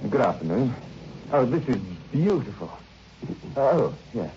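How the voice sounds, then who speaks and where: A man's voice: mid-range voice, big pitch swings, a little slow, dark tone. An actor playing a genteel, hesitant male writer in an old radio drama, courteous and well-spoken. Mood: courteous, pleased. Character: genteel, anxious male writer lodging away from home. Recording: muffled old radio recording, faint noise.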